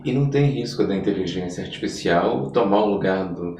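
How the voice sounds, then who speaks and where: A man's voice: deep voice, a little fast, darkish tone, slight background noise. A man with a Brazilian accent chatting casually as an interviewer, asking and commenting in a tentative, informal way. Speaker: podcast interviewer. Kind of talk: casual chat. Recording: echoey room, distant from mic.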